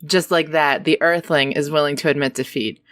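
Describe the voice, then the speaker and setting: A woman's voice: sits low, fairly even intonation, moderate pace, a bit bright, dry recording, studio quality. A woman playing a haughty, cruel alien queen in an audio drama, with a taunting, imperious delivery. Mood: mocking.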